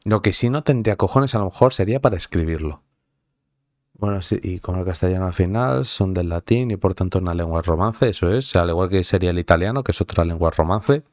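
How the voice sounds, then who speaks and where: Low-pitched madrid guy rambling to his viewers, swearing, lots of ums, dry close mic. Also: a little fast, a little mellow, dry recording. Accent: Madrid Spanish. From Madrid, Spain. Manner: rambling, profane, full of fillers and restarts. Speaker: streamer chatting with viewers. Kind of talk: casual chat.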